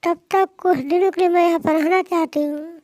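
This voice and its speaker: A woman's voice: very high voice, fairly even intonation, fairly quick, a little mellow, no room sound, studio quality. A woman voicing a headstrong young married daughter in a moral-story cartoon, pleading and scolding in plain words. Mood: pleading, insistent.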